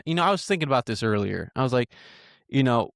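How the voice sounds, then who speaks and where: This is a man in his twenties with an average-pitched voice and a very dry recording. He hosts a casual interview. His questions come out informally and a little haltingly, as if he is assembling them while he speaks. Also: lively intonation, vocal fry, no echo. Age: 20s. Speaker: podcast host, interviewer.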